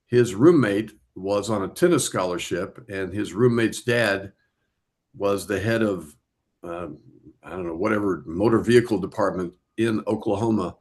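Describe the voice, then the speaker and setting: Lower-voiced man telling a casual anecdote. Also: normal speed. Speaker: film club member. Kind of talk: casual anecdote in group chat.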